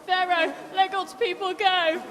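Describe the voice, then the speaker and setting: A woman's voice: very high voice, level tone, measured pace, over music, crowd noise. A woman playing a flustered on-the-scene correspondent, giving scripted mock news reports in a dramatic, plaintive manner. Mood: flustered, pleading. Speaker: field reporter. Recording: reverberant, noisy ambient field sound.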